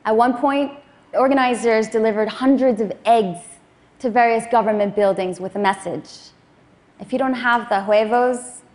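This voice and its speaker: A woman in her thirties, born in Afghanistan, giving a prepared public talk in a measured, earnest way. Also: fairly high pitch, darkish tone. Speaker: speaker.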